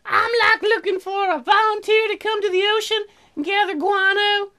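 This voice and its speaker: A man's voice: very high voice, steady tone, normal speed, pushed and bright, voice pushed up, exaggerated voice. A man voicing a vapid, upbeat female colony doctor in a ditzy game-character voice. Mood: perky.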